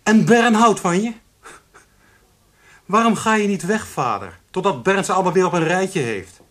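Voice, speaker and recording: An actor playing a bitter, slighted adult son in a radio play, with an accusing, sardonic manner. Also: male voice, high-pitched, animated, moderate pace. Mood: urgent, wounded.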